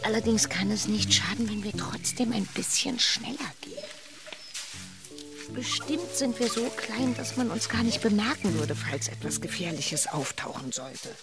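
A woman voicing a calm, caring troll mother in a children's radio play, gentle and reassuring. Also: medium pitch, moderate pace, natural voice. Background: music.

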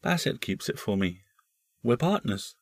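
A man's voice: mid-range voice, big pitch swings, a little slow, no room sound, studio quality, pitched above the performer's own voice. A man voicing a grave, secretive young boy with a polite, earnest manner in a storybook reading. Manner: solemn, earnest, careful.

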